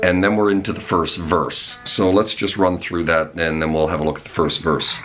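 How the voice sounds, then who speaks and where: A man with a cold and a hoarse voice, teaching a guitar lesson in a relaxed way with lots of "uh" fillers. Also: deep voice, normal speed. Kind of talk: guitar tutorial. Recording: guitar and faint backing music behind.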